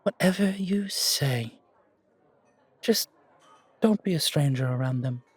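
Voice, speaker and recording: A man plays a gracious, well-connected gentleman for an audio roleplay. His voice is pitched up, with refined, polite phrasing. The recording is close and dry. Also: lively intonation, takes it slowly, husky, no echo, exaggerated voice. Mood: gracious, warm.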